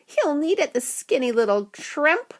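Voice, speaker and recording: Woman acting a sneering, envious child, animated. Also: sits high, a little slow, pitched above the performer's own voice, exaggerated voice. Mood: resentful. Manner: grumbling, resentful.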